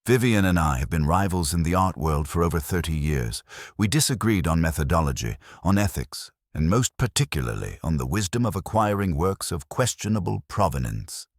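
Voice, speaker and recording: A man narrating an audiobook voices a proud aristocratic woman in her seventies, speaking in a crisp, clipped, formal way. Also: sits low, big pitch swings, fairly quick, dry recording, studio quality, exaggerated voice. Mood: composed.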